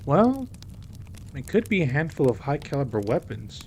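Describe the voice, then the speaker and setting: A man's voice: medium pitch, big pitch swings, moderate pace, a little mellow, a little hiss or hum, lightly acted. A man playing a protective, easygoing mafia boss with a wry streak in an audio roleplay. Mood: matter-of-fact.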